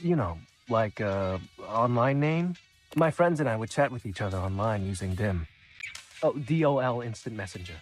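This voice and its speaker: A man's voice: lowish voice, animated, soft background music, close to an everyday voice. A man voicing an awkward, friendly, nerdy shadow creature in a voice drama. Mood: explaining. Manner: sheepish, halting, earnest. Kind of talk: character voice acting audition reel.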